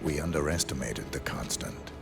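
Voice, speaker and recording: Stoic hitman, very low gravelly voice, terse, restrained game dialogue. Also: normal speed, music in the background. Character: stoic, terse professional assassin man.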